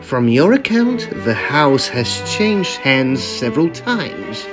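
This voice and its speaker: An actor playing a wry Edwardian gentleman in a period audio drama, with theatrical, formal old-fashioned phrasing. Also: medium pitch, very animated, slow, over music. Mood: pensive. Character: Edwardian gentlemen, detective and office colleague, deliberate, wryly dry. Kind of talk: period radio drama dialogue.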